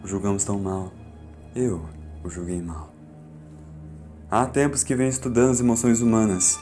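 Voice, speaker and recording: A man's voice: lowish voice, fairly even intonation, moderate pace. Solemn Brazilian man narrating an audiobook over music. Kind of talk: scripted narration of a fan audiobook.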